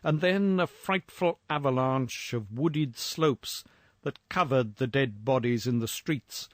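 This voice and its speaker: Male narrator for gothic horror fiction: unhurried, literary delivery, mid-pitched, dry recording. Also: no room sound. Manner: measured, literary storytelling.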